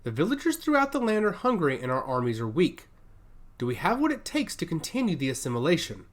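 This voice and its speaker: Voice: medium pitch, huge pitch swings, fast talker. A narrator voicing a worried old man of a secret order, with a quivering voice. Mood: concerned. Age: older.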